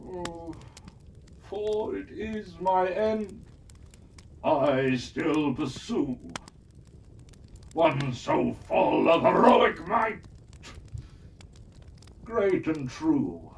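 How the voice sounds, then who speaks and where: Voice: medium pitch, big pitch swings, very slow, long pauses, darkish tone, heavily acted. A storyteller voicing an aging male elf champion, speaking in rhyming verse with a solemn, pleading tone. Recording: distant mic, faint hiss.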